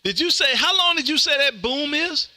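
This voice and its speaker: A man's voice: very high-pitched, expressive, normal speed, heavy background noise. A boisterous, folksy man, hosting amateur radio calls and joking with his contacts. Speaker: amateur radio host, live streamer. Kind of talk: animated ham radio contact calls and banter. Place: home radio room.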